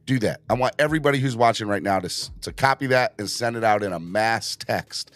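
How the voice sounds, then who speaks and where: A man hosting a casual live sports fan podcast, urging viewers to subscribe, with his voice just back after being hoarse. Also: dry recording, soft background music. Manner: animated, jumps into high put-on voices for quick bits. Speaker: podcast host.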